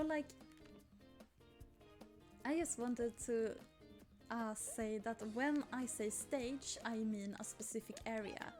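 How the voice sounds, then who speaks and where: Slow-talking Swedish woman playing a game. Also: fairly high pitch, darkish tone. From Sweden. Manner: chatty, rambling and apologetic, squealing with delight at cute things. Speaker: gaming YouTuber. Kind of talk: casual let's play commentary. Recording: faint game music behind.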